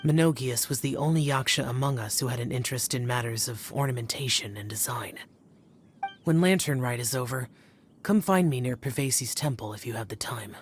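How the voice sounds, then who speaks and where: A man's voice: mid-range voice, a little hiss or hum, natural voice. A man voicing a stoic, reserved young guardian spirit in a video game. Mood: reserved, calm. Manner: reserved, measured. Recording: music behind.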